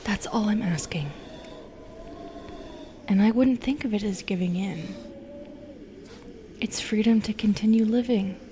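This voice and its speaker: Slow, breathy, brooding Medusa played by a woman. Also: medium pitch, dark tone, a little hiss or hum. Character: bitter, seductive, lonely female Medusa who turns people to stone. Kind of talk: intimate audio roleplay acting.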